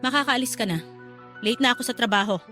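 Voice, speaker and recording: A woman's voice: fairly high pitch, fast, pushed and bright. A Filipino woman playing a cold, unforgiving older sister and grieving mother who speaks reproachfully in a radio drama. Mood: stern, resentful. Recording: music under the dialogue.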